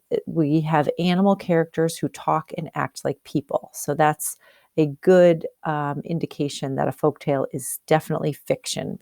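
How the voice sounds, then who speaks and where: A woman's voice: sits low, vocal fry, a little mellow, no echo, clean studio sound. A woman teacher reading a storybook aloud expressively, explaining and asking questions as if to young pupils. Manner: explanatory, questioning, checks understanding.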